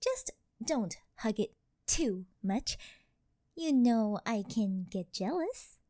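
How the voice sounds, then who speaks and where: A woman's voice: on the high side, sing-song, slow pace, mellow, dry recording, clean studio sound. A woman playing a rich, possessive, doting older girlfriend in an ASMR roleplay, coy, cutesy and teasing as she sweet-talks.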